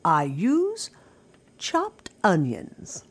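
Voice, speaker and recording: A woman playing a bossy, warm mother in an audio drama, instructive and mock-solemn in a theatrical way. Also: fairly low pitch, huge pitch swings, extremely slow, husky, full character voice. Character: Gina's warm, bossy mother, playfully imparting kitchen wisdom.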